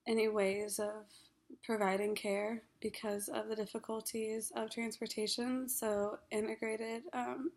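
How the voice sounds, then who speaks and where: A young woman from Ohio in her twenties, a graduate counseling student introducing herself for a class, hoarse from a cold, halting with many ums and frequent throat clearing. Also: on the high side, little pitch movement, darkish tone. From Ohio, USA. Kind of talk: class self-introduction video.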